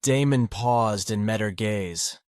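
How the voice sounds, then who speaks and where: Man, scripted narration, lowish pitch, close dry recording, fiction audiobook. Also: slow pace, darkish tone, no echo.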